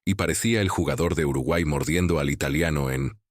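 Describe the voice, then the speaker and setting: A man with an extremely deep, low voice narrates horror tales in a grave, measured way. The recording is dry and close, with no audible room. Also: dry recording. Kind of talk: scripted horror storytelling.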